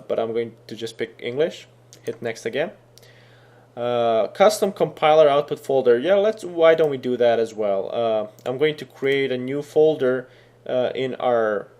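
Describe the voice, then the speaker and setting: Casual man walking through software setup. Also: medium pitch. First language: Serbian. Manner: casual, explanatory, thinking aloud with fillers. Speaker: software tutorial instructor. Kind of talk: screen-recorded coding tutorial.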